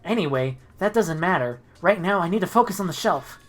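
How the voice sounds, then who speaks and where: A woman with a very low-pitched voice hosts a casual playthrough. She reads the protagonist's lines aloud and chats loosely, sometimes swearing. Music plays faintly behind her. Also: animated, fairly quick. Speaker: streamer reading a visual novel's protagonist aloud. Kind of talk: casual game playthrough, reading dialogue aloud.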